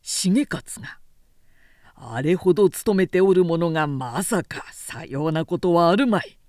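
A lordly, doubting samurai clan commander, acted by a woman narrator. The speech is haughty and probing, slowly paced, with wide dips and rises in pitch. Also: expressive, a little mellow, dry recording, clean studio sound. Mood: doubtful, dismissive.

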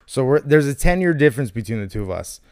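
A man in his thirties talking politics casually, animated and questioning, with some swearing, as a podcast host. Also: medium pitch, quick pace, clear, resonant tone, no room sound, clean studio sound. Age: 30s.

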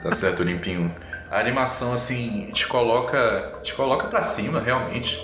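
A man improvising tabletop game narration as game master, haltingly searching for his words. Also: medium pitch, expressive, echoey room, noisy background. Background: music.

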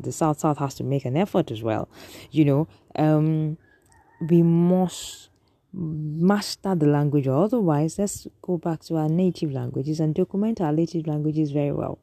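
Low-voiced Nigerian woman lecturing. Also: dark tone, no echo. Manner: earnest, lecturing, frequent restarts. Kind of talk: opinion monologue to an audience.

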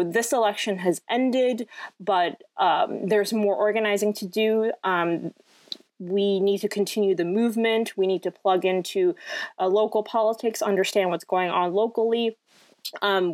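A woman's voice: lowish voice, dry recording. A woman raised in France and Hong Kong, talking as an activist guest in a casual interview, with many ums and restarts. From born in France, raised in Hong Kong.

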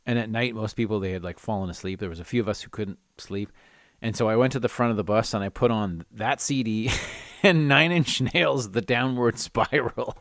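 A middle-aged man, hosting a solo podcast with casual, animated, self-amused stories and rants. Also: on the low side, normal speed, no echo, clean studio sound. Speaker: podcast host. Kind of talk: casual solo storytelling and ranting.

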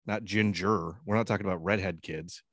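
A man's voice: low-pitched, steady tone, brisk, fairly bright tone. A man chatting casually in a profane, rambling way, often jumping in with interjections. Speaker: talk show co-host. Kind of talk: casual bantering chat.

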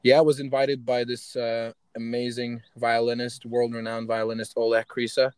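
A man's voice: mid-range voice, completely flat delivery, moderate pace, a little mellow, smooth, no echo. A young man from western Ukraine, a violinist guest, talking in a laid-back, slangy way with casual swearing. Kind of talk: casual interview chat. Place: outdoors by a road with passing cars.

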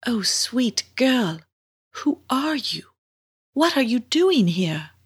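A woman voicing a gentle, calm mermaid in a storybook, with a soothing manner. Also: a little high, slow pace, slightly rough, voice pushed up, full character voice. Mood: weak, gentle.

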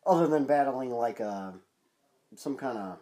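A congested, nasal-sounding man chatting on his podcast at a middling pitch. He talks in loose, rambling runs full of fillers and restarts, easygoing and unscripted. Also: lively intonation, measured pace, mellow, a little hiss or hum. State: stuffed-up nose, nasal. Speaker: podcast co-host. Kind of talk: casual podcast chat.

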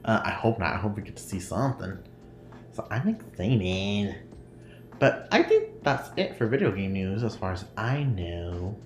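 A man's voice: fairly low pitch, very animated, moderate pace. Nasal, giggly podcast host, man. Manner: rambling, giggly, laughs often. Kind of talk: casual solo podcast chat. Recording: roomy echo, faint noise.

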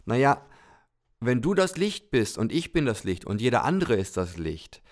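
A patient and kindly God who explains things gently and calmly, in a storybook podcast tale told by a man. His voice stays measured, as if talking to a child. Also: medium pitch, close to an everyday voice. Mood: explaining. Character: calm, kindly male God, patient and wise.

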